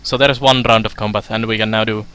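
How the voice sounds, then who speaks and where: Bright-voiced man from Finland streaming a game. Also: lowish voice, flat delivery, smooth, faint music behind. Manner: chatty, halting, many fillers, reads game text aloud. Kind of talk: casual let's play commentary.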